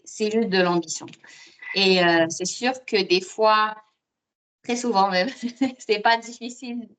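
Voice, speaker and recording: A woman's voice: mid-range voice, fairly bright tone. A woman from Morocco talking casually as an interview guest, telling her own story with repeated words as she thinks. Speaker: podcast guest; osteopath and student.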